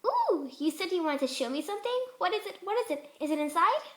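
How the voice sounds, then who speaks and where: A woman voicing an excitable, gushing, bubbly young female party pony from a cartoon fan story. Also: very high voice, fast talker, voice pushed up, exaggerated voice. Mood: eager, curious.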